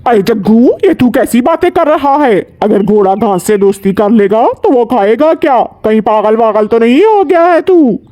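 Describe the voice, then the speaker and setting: A man voicing a greedy, nagging male kite bird in a Hindi animated moral tale, taunting and emphatic. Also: extremely high pitch, normal speed, few pauses. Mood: mocking. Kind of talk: Hindi animated moral-story cartoon acting.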